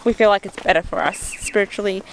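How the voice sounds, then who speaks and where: Young woman with creaky voice. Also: medium pitch, quick pace, slight background noise, low-quality recording. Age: 20s. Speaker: travel vlogger. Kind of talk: casual vlog chat to camera. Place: outdoors on a forest hiking trail and summit, later in a car. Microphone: handheld camera at arm's length.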